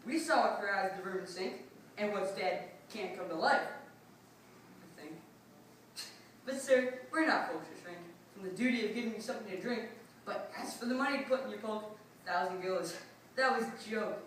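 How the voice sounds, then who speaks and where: A woman's voice: mid-range voice, moderate pace, lightly acted. A woman playing a pompous, stingy male town mayor, blustering through verse in readers theatre. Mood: dismissive, wheedling. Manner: blustering, emphatic. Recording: distant, very echoey room, faint noise.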